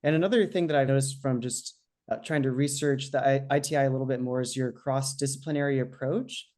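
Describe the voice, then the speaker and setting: A man's voice: mid-range voice, steady tone, fairly quick, a little mellow. Man hosting a podcast over video call. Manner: friendly, a little hesitant with fillers. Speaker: podcast host. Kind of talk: interview questions. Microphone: webcam on a video call.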